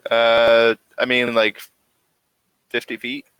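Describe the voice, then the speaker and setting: A man running a tabletop game, narrating in a halting way as he thinks aloud. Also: medium pitch, monotone, a bit bright, smooth, dry recording, rough recording. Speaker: game master.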